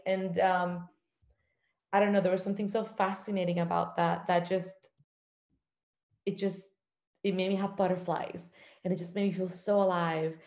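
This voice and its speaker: A woman's voice: fairly low pitch, vocal fry, reverberant. A woman in her thirties from Cuba, a travel journalist telling her story as a podcast guest with eager, filler-laden talk. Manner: warm, enthusiastic storytelling with many "um" fillers. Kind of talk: casual interview talk.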